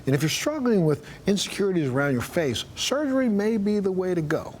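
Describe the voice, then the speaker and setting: A man's voice: sits high, very animated, brisk, a little mellow, slight background noise. A man hosting a TV talk segment, upbeat and chatty as he interviews a guest. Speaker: TV show host.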